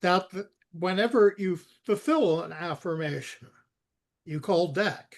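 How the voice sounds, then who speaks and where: Male coding mentor, fairly high voice, unhurried and halting delivery, informal step-by-step instruction. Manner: halting, repeats words, spells things out. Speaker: software expert guiding a coding session.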